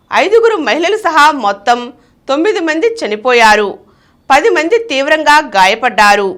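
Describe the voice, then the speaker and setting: A woman's voice: high voice, fairly bright tone. A woman reading a scripted news bulletin as a newsreader. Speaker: news reader.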